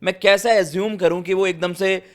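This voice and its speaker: A man's voice: high-pitched, fairly even intonation, moderate pace. A man giving opinions as a panelist in a casual cricket debate, colloquial and slangy, mixing in English words. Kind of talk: casual sports debate chat.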